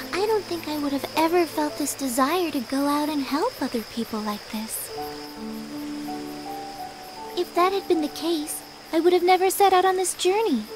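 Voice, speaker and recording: High-pitched teenage girl, gentle, selfless, frail heroine, soft-spoken, earnest game dialogue, music behind. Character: gentle, selfless teenage girl with magic powers, gravely ill. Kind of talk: dubbed video game cutscene acting.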